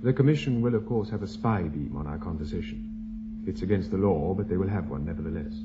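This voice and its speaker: A British actor playing a composed, discreet lawyer with a formal, precise manner, in a radio drama. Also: male voice, low voice, lively intonation, fairly quick. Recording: dull recording with loud background hum.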